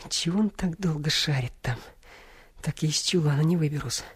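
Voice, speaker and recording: An actor playing a curious, cautious young boy muttering to himself in a radio play, breathy and half-whispering. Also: mid-range voice, moderate pace, slight background noise, natural voice. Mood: curious, furtive. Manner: hushed muttering to himself, hesitant.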